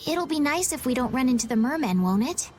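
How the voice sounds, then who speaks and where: A woman voicing a gentle, polite, hopeful young woman healer in a video game dub. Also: high voice, lively intonation, brisk, faint music behind. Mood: reassuring.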